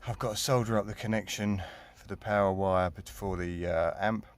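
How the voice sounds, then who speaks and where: A man's voice: fairly low pitch, steady tone, moderate pace, dry recording. A British man giving a casual hands-on walkthrough, informal and colloquial, thinking aloud as he goes. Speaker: hobbyist vlogger. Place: in and around a parked car.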